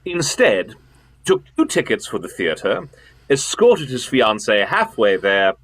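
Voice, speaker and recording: A man acting a weighty, authoritative gentleman in a radio play, urging and commanding. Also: medium pitch, wildly swooping pitch, takes it slowly, heavily acted, poor audio. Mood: measured, challenging. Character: weighty, authoritative gentleman, urgent elder brother of detective.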